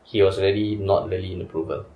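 A man's voice: sits low, steady tone, fast, echoey room, highs cut off. A young Singaporean man hosting a casual chat in a small studio, steering the talk and asking others for their views. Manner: leads the talk, asks for reactions. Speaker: podcast host. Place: small indoor studio with couches.

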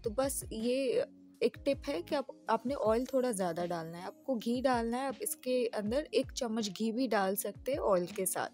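A woman's voice: fairly high pitch, normal speed, darkish tone, soft background music. A woman from Pakistan with a Pakistani Urdu accent, chatting through a home cooking recipe as host of her channel. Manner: chatty, friendly, repeats tips. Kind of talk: casual step-by-step cooking voice-over.

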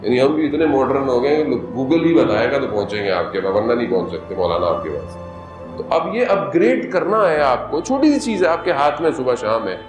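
A man's voice: very animated, fairly quick. Guy preaching a majlis, chatty then fired up, roomy hall, music under it. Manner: conversational preaching that rises to impassioned, high-pitched appeals. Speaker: Shia cleric. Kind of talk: majlis sermon.

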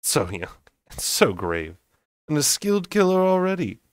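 A man's voice: fairly high pitch, wildly swooping pitch, a little slow, smooth, dry recording, studio quality, pitched above the performer's own voice. A man voicing a smirking, sardonic male fae warrior in a storybook, mocking and teasing.

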